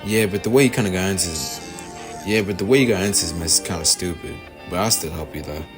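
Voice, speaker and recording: A man's voice: low-pitched, quick pace, over loud music, natural voice. A man voicing a blunt, grudgingly helpful anime fighter in a casual, informal delivery. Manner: casual scolding, repeated takes.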